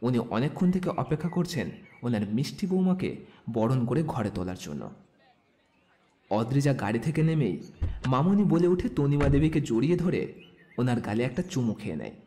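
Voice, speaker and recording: A man's voice: medium pitch, big pitch swings, normal speed, pauses often, warm tone. A man narrating a scripted romantic story as a storyteller.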